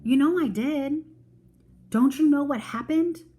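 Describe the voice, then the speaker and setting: A woman's voice: sits high, unhurried, mellow, voice pushed up. A woman voicing a bossy, exasperated little girl in a storybook read-aloud, dramatic and demanding, scolding like her mother. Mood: impatient.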